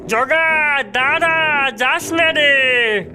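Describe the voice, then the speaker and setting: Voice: high-pitched, drawn-out words, music in the background. An actor voicing a timid, puzzled young boy in a cartoon, hesitant in speech. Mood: puzzled, worried. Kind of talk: cartoon voice acting.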